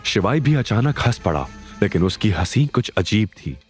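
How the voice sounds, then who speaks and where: A man narrates a suspenseful audio story. His voice sits a little low but moves with a very animated range, in a dramatic scripted style. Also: normal speed, soft background music.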